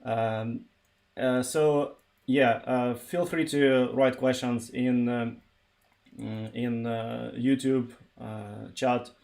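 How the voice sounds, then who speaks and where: A man from eastern Ukraine, a native Russian speaker with a Russian accent, talking informally and haltingly on a tech livestream. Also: medium pitch, fairly even intonation, gravelly, darkish tone. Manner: halting, searching for words. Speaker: live streamer, software developer.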